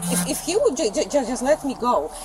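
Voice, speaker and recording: A woman's voice: on the high side, very animated, a little fast, over music. A woman talking to police in a stammering way, repeating her words. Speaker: detained suspect. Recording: bodycam audio from a distance with noise.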